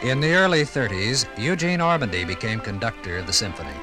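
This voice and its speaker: An American man reading scripted 1950s radio documentary narration in a formal, measured, authoritative manner. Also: medium pitch, very animated. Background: music.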